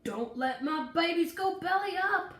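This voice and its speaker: A woman voicing an excitable pioneer father who fusses dramatically over his precious fruit trees in a storybook. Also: very high-pitched, unhurried, pitched above the performer's own voice. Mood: anxious, urgent. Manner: exclaiming, dramatic. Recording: roomy, distant mic, faint noise.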